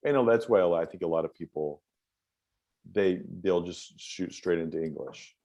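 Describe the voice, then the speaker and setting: A man's voice: deep voice, moderate pace. A middle-aged American man telling stories in an interview, rambling and restarting often. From United States. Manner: rambling, animated storytelling with many restarts. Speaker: interview guest. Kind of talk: casual interview chat.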